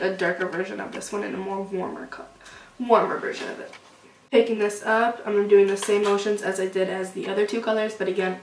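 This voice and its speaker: A young woman with a cold, sniffling as she chats loosely through a casual makeup tutorial. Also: mid-range voice. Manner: chatty, loose, many "like" fillers. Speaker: beauty vlogger. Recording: roomy echo, distant mic, faint hiss.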